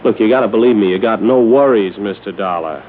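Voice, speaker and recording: A man's voice: fairly low pitch, a little fast, lightly acted. An actor playing a shifty, nervous male crook who stammers and pleads in slangy speech in an old radio crime drama. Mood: pleading. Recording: muffled, slightly noisy old radio recording.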